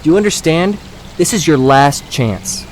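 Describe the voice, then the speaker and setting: A man's voice: a little high, expressive, normal speed, a little hiss or hum, close to an everyday voice. A man playing a confident young trail guide in an audio drama, with a firm, instructive manner. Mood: firm, warning. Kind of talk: scripted audio drama acting. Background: faint outdoor ambience and stream effects.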